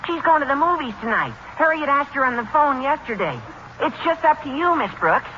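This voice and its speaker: A man's voice: very high voice, expressive, brisk, bright, forceful tone, full character voice. A man playing an eager, earnest, slangy teenage schoolboy in a 1940s radio sitcom. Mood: eager, pleading. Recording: dull, noisy 1940s radio recording.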